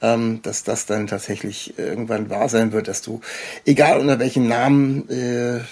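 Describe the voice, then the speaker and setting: A man hosting a podcast in a casual interview, talking in a halting, rambling way with a husky voice. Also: mid-range voice, level tone, a little mellow. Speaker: podcast host.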